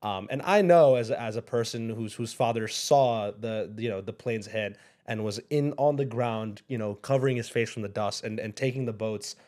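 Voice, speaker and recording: A young man as a guest on an interview show, speaking thoughtfully, pausing often and restarting his sentences. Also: fairly low pitch, a little mellow. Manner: thoughtful, hesitant, many fillers and restarts. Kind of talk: casual interview.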